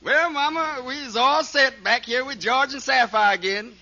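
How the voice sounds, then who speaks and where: Smug coy mama's boy, super high voice, muffled old radio comedy. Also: a little slow, sharp tone, faint music behind, exaggerated voice. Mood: pleased. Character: smug, sly freeloading brother-in-law, a mama's boy. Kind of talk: 1940s radio comedy acting. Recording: very noisy, dull old radio recording.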